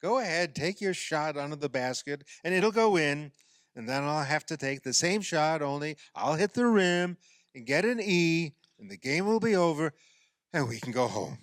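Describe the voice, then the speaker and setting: A man acts out a self-pitying amateur athlete in a radio drama. His delivery is sarcastic and exasperated, halting and then swinging widely in pitch. The sound is dry, with little room around it. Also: expressive, a bit bright, no room sound. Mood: exasperated, sulky. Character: self-pitying, sarcastic male amateur basketball player, sore loser. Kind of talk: radio play dialogue.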